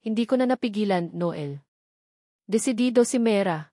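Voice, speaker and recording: A woman's voice: medium pitch, animated, moderate pace, warm tone, no room sound, lightly acted. Woman as anxious aunt delivering news, shaky and concerned, audio melodrama, dry sound. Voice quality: trembling. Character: worried, trembling aunt on the telephone.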